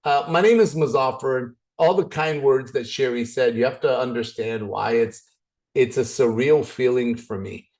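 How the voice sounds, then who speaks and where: A man's voice: medium pitch, normal speed, darkish tone. Canadian-born guy joking around while presenting over a video call, goes off on tangents.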